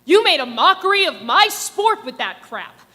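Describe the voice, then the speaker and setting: A woman voices a strict wrestling coach, high and bright, scolding in a harsh way. A long hall reverb trails each line. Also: sing-song, normal speed, exaggerated voice. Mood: furious.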